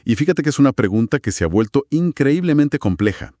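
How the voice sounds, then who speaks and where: A man's voice: mid-range voice, sing-song, fairly quick, warm tone, no echo, studio quality. A man co-hosting a conversational explainer, speaking in an emphatic, explanatory way. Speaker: co-host of an audio gear review discussion. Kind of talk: conversational podcast-style explainer.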